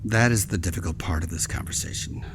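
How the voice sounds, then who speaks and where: A man acting a grave, formal senior admiral in an audio drama, speaking in a measured, deliberate way. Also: low voice, background noise, lightly acted. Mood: solemn.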